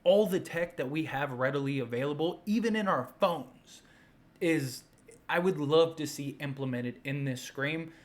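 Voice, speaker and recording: Man, slightly high-pitched voice, casual fan commentary, unscripted, enthusiastic, emphatic, repetitive rambling delivery. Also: moderate pace. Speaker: fan commentator, video host.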